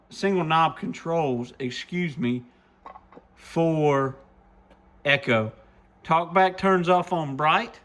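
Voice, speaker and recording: Male shop presenter, voice a touch high, folksy and enthusiastic, casual hands-on product demo delivery. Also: a bit bright.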